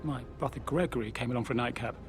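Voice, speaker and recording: An actor playing an anxious, flustered professor over game music. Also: male voice, mid-range voice, very fast, creaky, natural voice. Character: fretful British professor brother. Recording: game audio with music behind.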